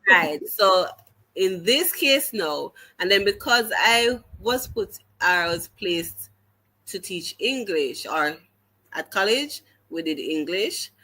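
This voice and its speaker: Jamaican woman guest, teacher, unhurried earnest storytelling with a bright, forceful tone. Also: medium pitch, expressive, low-quality recording. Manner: earnest, halting restarts, slips into patois. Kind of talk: conversational storytelling in an interview.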